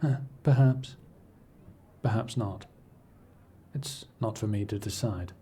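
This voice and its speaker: A man playing a cool, imperious scholar-agent in a fantasy audio roleplay, speaking in a formal, measured, dryly condescending way. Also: on the low side, mellow, slight background noise. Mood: detached, solemn. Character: cool, imperious male scholar-agent serving an arcane archivist patron. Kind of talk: scripted audio roleplay acting, addressing the listener.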